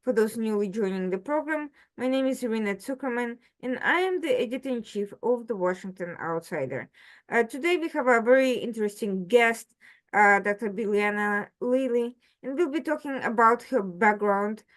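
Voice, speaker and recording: A woman hosting an interview program, introducing and questioning a guest in a halting, hesitant delivery with frequent pauses. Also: fairly high pitch, expressive. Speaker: host, editor-in-chief of a news program.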